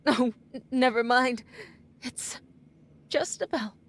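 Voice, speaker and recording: A woman acts out a grieving mother in a vintage radio melodrama. Her voice sits high and moves slowly, pleading with desperate, emphatic feeling as she clings to hope. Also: fairly bright tone, exaggerated voice. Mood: shaken relief. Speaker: actor in an audio drama. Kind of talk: old-time radio drama acting.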